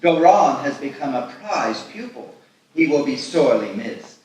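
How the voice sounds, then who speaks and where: A man's voice: medium pitch, big pitch swings, measured pace, a little mellow. A man playing a kindly older rabbi, proud and protective, speaking formally in a live screenplay table read. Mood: proud, warm. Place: live festival event, echoing room. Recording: echoey hall from far off, faint noise.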